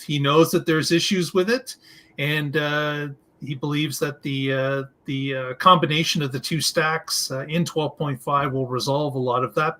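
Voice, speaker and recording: Man co-hosting, slightly high voice, halting delivery. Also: sharp tone. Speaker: podcast co-host. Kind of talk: discussion show commentary.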